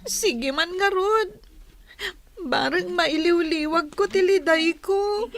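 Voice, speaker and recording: A woman's voice: very high-pitched, slow, full character voice. A woman playing a sad, pleading young child who whines plaintively in an Ilocano radio drama. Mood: sulky, downcast. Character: sad, pleading young child, one of many siblings, left behind.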